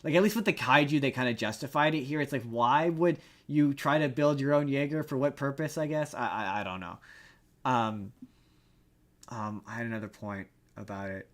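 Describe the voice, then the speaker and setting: A man's voice: medium pitch, steady tone. Guy podcast host just chatting about movies, slangy, rambly, repeats himself, regular mid voice. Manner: informal, slangy, halting and rambling explanation with repeated words. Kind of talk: casual movie review chat.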